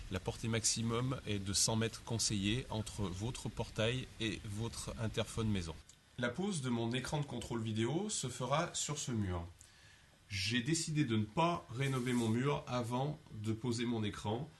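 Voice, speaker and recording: A man calmly narrating a home improvement job step by step, describing each action as he goes. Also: medium pitch, a little hiss or hum. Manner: methodical, explains each step in first person. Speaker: DIY instructor.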